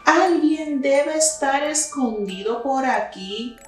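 A woman's voice: high voice, lively intonation, slow, faint music behind. A woman voicing a surprised, questioning male night watchman from a children's storybook. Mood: startled. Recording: very echoey room, distant mic.

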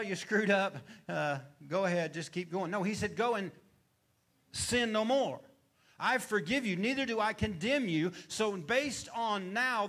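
High-voiced middle-aged preacher man. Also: a bit bright. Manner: preaches with call-and-response questions to the congregation. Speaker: pastor. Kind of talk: sermon. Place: church stage with brick wall. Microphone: handheld mic.

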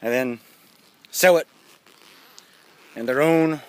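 Guy in his 30s vlogging outside, kinda high voice, opinionated and sardonic, very dry sound no echo. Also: slow pace. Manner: blunt, emphatic, sardonic, with swearing. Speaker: vlogger giving news commentary. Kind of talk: casual, opinionated commentary to camera. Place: outdoors, walking in a residential neighborhood. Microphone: phone or camera at arm's length.

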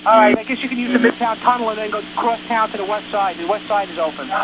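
A man's voice: high voice, fairly even intonation, quick pace. A man working as a fire dispatcher, giving procedural directions over a two-way radio. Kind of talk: emergency radio dispatch traffic. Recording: noisy emergency radio traffic, loud hum.

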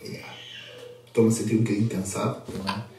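A young man, hoarse from a cold, talking slowly and haltingly in a casual vlog. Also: on the low side, level tone, dark tone, heavy reverb, recorded from a distance. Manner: slow, halting, full of fillers and restarts. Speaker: vlogger in a clip being reacted to.

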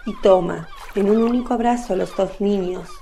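A woman's voice: medium pitch, normal speed, vocal fry, dark tone, slight background noise. A woman narrating a literary radio play from a script.

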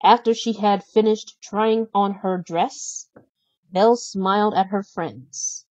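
A woman narrating a children's storybook in an expressive, deliberate read-aloud manner. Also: mid-range voice, no room sound, dull audio.

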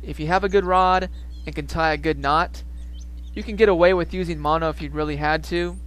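A young man giving calm, matter-of-fact fishing tips as a voice-over narrator. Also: a little high, a little fast, smooth. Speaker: fishing video host.

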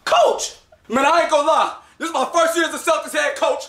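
Sketch actor doing a loud teasing player ribbing teammates. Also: male voice, very high-pitched, fairly quick, crowd noise. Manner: loud, teasing, shouting between laughs. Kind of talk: improvised comedy sketch. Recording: echoey room clip.

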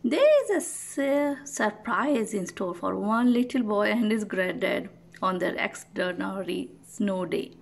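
A woman reading a children's picture book aloud as host, expressive and deliberate for young listeners. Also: mid-range voice, gravelly. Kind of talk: storybook read-aloud.

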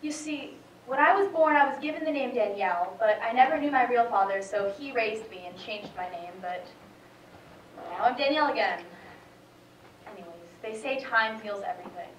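A woman's voice: fairly high pitch, expressive, moderate pace, a little hiss or hum, lightly acted. Wounded daughter teenage woman acting, wry, reflective, stage monologue, echoing theatre, distant microphone. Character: wounded, wry grown daughter of abusive home. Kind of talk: high school stage monologue. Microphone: far camera.

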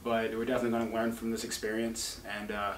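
Soft-spoken young male athlete, flat delivery, distant mic, faint hum. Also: fairly low pitch, quick pace, reverberant. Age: young adult. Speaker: player. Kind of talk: post-game press conference.

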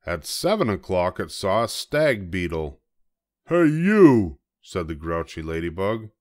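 A man's voice: sits low, animated, moderate pace. An older man narrating a children's storybook from the text, as a read-aloud. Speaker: storybook reader.

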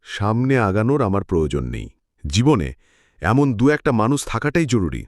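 A man's voice: fairly low pitch, very animated, fairly quick, darkish tone, no room sound, clean studio sound. An actor playing a principled, kind-hearted village fisherman husband in a cartoon. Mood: earnest. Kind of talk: cartoon voice acting.

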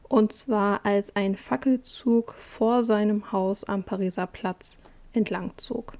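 A woman narrating a voice-over art quiz, explaining clues in a calm, measured way with small hesitations. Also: mid-range voice.

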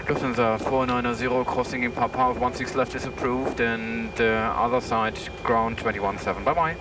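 A man's voice: mid-range voice, steady tone, normal speed, noisy background. A man speaking clipped radio phraseology and checklist callouts as an airline pilot on a headset. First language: German. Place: airliner cockpit in flight. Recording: distant cockpit audio with aircraft drone.

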